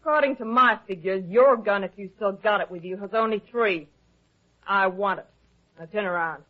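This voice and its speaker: An actress playing a cool, commanding, taunting femme fatale in old-time radio detective style. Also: female voice, mid-range voice, normal speed, close to an everyday voice. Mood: cool menace. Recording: dull, lo-fi old radio drama recording.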